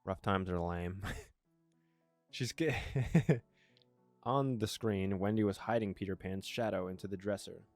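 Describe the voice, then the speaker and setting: A low-pitched male gamer with a close, dry sound reads a story game aloud over background music. He drops in casual reactions and now and then stumbles on a word and corrects himself. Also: measured pace, pauses often, a little mellow, dry recording. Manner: stumbles over words while reading, self-correcting. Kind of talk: casual let's play, reading narration aloud and reacting.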